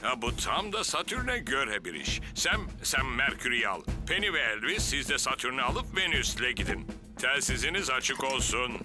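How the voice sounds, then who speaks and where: A man performs an older cartoon officer with a mid-pitched, very animated delivery. He is gently pompous and deliberate, with music playing behind him. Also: close to an everyday voice. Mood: brisk, authoritative. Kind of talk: Turkish cartoon dub acting. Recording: music under the voice.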